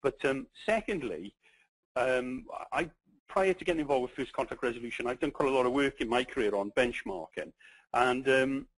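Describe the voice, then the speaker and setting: A middle-aged man with a Welsh accent presenting a business webinar, pausing often with ums and restarting his sentences. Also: mid-range voice, no room sound, low-quality recording. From Wales. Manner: halting, many ums and restarts.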